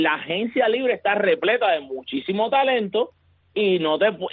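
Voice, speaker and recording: A man talking baseball on a sports radio show, emphatic and building excitement as he argues his point. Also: sits high, no room sound. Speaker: baseball analyst. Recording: tinny phone line.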